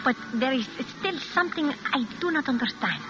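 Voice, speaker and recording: High-voiced, coy femme fatale. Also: female voice, lively intonation, background noise. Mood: teasing. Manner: teasing, deliberate, formally phrased. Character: coy, probing foreign femme fatale in wartime Madrid. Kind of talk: 1940s radio drama acting. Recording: dull vintage radio recording with music.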